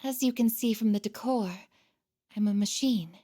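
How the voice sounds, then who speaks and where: A woman voicing a gentle, earnest female android in a video game, speaking softly and hesitantly with shy devotion. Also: a little high, natural voice. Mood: hesitant, sincere. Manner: soft, hesitant, deliberate, tender. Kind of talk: video game voice acting, emotional dialogue.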